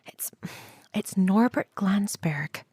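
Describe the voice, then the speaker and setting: A woman's voice: medium pitch, takes it slowly, a little mellow, slightly rough. An actress playing a polite, flustered woman who is guarded and hesitant in audio drama dialogue. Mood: anxious.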